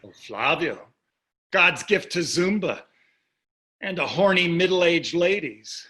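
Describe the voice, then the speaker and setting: An actor playing a wealthy, cynical husband in a staged play reading, sarcastic and dismissive in delivery. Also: male voice, high-pitched, lively intonation, slow pace, bright, forceful tone, heavily acted. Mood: mocking. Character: wealthy, cynical, callous, golf-loving husband.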